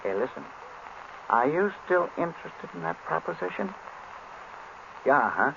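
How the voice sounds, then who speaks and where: A shady informant in a vintage radio play, hushed and urgent. The voice is gravelly and fairly high, jumping about in pitch, under clear noise on an old recording. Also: male voice, big pitch swings, measured pace, lo-fi sound, full character voice. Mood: furtive, urgent. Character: furtive male informant whispering a tip over the telephone. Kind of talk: 1930s-40s radio drama acting.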